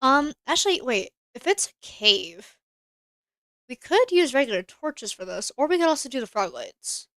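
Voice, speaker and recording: A woman's voice: high-pitched, very animated, normal speed, a bit bright, no room sound, studio quality. A woman streamer chatting casually while she plays a game, thinking aloud and answering her chat. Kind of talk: casual live-stream gameplay commentary.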